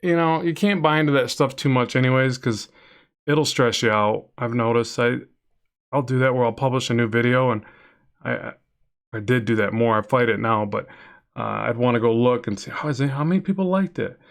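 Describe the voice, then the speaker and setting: A man's voice: mid-range voice, fairly quick, creaky. A man with a nasal voice chatting casually with viewers while drawing on a live stream, rambling and reading chat aloud. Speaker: comic artist streaming a drawing session.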